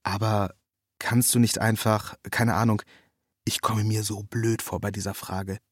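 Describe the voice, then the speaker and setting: A man voicing a puzzled, hesitant male listener who asks halting, sincere questions in a read story. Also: lowish voice, flat delivery, breathy edge, dry recording, studio quality, pitched below the performer's own voice. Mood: awkward, sincere. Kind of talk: quoted dialogue in a read story.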